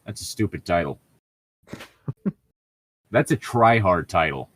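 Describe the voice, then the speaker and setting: A man's voice: low voice, lively intonation, slow pace, a bit bright, no echo. A man reading a story aloud as a co-host, breaking off for sarcastic, irritable, profane commentary and casual banter. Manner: sardonic, irritable, profane heckling.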